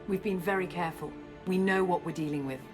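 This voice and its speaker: A woman playing a guarded, self-assured scientist and space operative in a video game, explaining things in a measured way. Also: fairly low pitch, steady tone, natural voice. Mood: firm, defensive. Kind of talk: video game cutscene acting. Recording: music under the dialogue.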